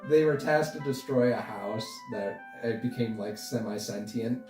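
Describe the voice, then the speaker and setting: A young man chatting casually as an interview guest, rambling and halting as he talks. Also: medium pitch, a little mellow. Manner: rambling, halting, chuckles often. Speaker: interview guest, online animation creator. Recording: roomy echo, distant mic, music behind.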